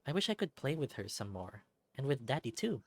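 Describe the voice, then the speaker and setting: A woman's voice: rumbling low, sing-song, a little fast, no echo. A woman reading a visual novel aloud as a let's-play narrator, with casual asides. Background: music.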